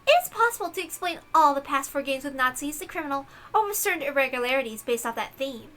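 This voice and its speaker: A woman's voice: sits high, animated, fast, a bit bright. A woman voicing a smug, eager girl detective who serves her master with boastful, theatrical obedience in a visual novel read-aloud.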